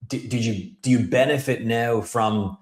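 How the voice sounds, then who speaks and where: A man's voice: medium pitch, measured pace. Effusive male podcast host. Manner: effusive and praising, with stammered repeats. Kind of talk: casual interview hosting.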